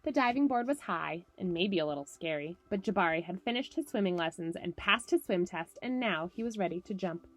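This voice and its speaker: A woman's voice: lowish voice, animated, a little fast, hardly any pauses. A woman presenting a story time for children, speaking simply and encouragingly, with music behind her. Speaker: camp director reading picture books to children.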